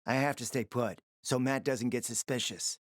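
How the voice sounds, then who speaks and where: A man's voice: mid-range voice, fairly even intonation, normal speed, no echo, clean studio sound, lightly acted. An actor playing a scheming, foul-mouthed man in a post-apocalyptic video game, confident and calculating, with an emphatic, sardonic delivery. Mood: calculating, persuasive. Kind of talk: dramatic video game dialogue.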